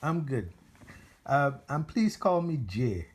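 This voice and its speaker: A man from Barbados, interviewing informally in halting, deliberate phrases. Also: on the high side, sing-song.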